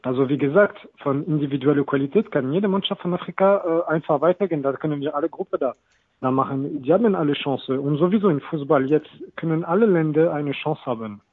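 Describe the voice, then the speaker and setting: A man in his thirties speaks German as a second language, halting and restarting as he explains football. His voice sits a little high. It comes over a tinny, phone-like line with no room sound at all. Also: slightly rough, dry recording. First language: not German. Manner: hesitant non-native German, many restarts. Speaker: guest, assistant football coach. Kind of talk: casual interview talk.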